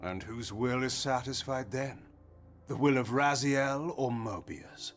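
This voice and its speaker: A male actor voices a cynical, imperious vampire lord in a gothic video game. He speaks at a medium pitch in measured, grandiloquent, archaic rhetoric, cunning and fatalistic. Music is clearly heard behind him. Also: lightly acted. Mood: sardonic, cryptic. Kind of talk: theatrical scripted video game dialogue.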